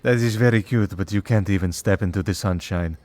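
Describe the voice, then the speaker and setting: A man plays a centuries-old vampire priest who hunts his own kind in an audio drama. The voice is low and male, with a dry, unhurried delivery. He stays calm and wry, polite even when he is being sardonic. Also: no echo, lightly acted. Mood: wry, confident. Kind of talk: scripted audio roleplay, ASMR drama.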